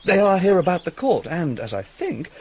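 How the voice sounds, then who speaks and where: Young courtier, British accent, higher-pitched, very swooping intonation, courteous, slightly hesitant, period drama. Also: male voice, huge pitch swings, moderate pace, a little hiss or hum, heavily acted. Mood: eager, deferential. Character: obliging, deferential young gentleman courtier. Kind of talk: theatrical Shakespearean radio drama.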